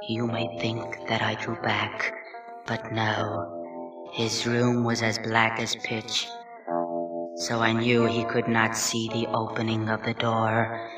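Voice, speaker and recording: An actor playing a gleeful, unhinged murderer who insists he is sane, in a theatrical horror-story reading with halting, swinging delivery. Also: fairly low pitch. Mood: stealthy, tense. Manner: chuckling, halting, swinging from hushed creeping to frenzied. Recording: roomy echo, distant mic, music underneath. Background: music.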